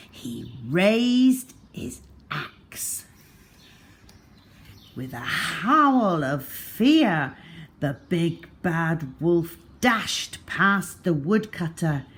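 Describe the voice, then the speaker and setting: Very slow, animated woman storyteller. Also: lowish voice. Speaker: children's storyteller. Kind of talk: expressive storybook narration for young children.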